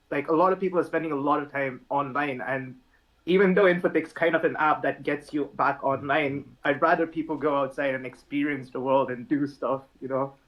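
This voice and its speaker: Relaxed young man from India, guest. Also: fairly high pitch, low-quality recording. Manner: relaxed, thoughtful, with frequent fillers and restarts. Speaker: podcast guest, app founder. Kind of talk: casual podcast chat.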